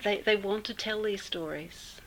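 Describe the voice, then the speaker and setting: A woman's voice: mid-range voice, a bit bright, slight background noise, low-quality recording. New Zealand woman telling a story. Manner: unhurried, with many fillers and pauses. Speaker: interviewee. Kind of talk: casual storytelling.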